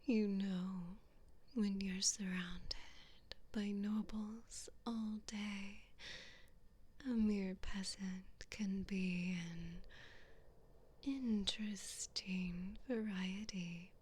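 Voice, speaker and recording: Woman whispering as a slow, haughty evil queen. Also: medium pitch, steady tone, darkish tone. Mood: teasing, seductive. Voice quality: breathy whisper. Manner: slow, drawn-out, condescending, seductive. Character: haughty, teasing evil queen toying with her prisoner. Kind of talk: whispered ASMR roleplay.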